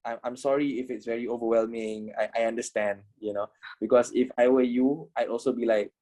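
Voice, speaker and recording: A man's voice: medium pitch, steady tone, a little fast, dark tone. A man in his twenties teaching a casual trading class, swearing, repeating himself and asking "you know?" a lot. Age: 20s. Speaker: trading instructor. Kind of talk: casual online class wrap-up.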